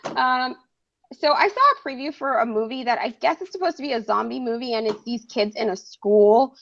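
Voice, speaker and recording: Female stream host, fraying gravelly voice, lo-fi capture, chatty. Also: a little high, moderate pace, a bit bright. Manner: chatty, laughs often. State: losing her voice. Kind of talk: casual group chat on a stream. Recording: dull lo-fi stream audio.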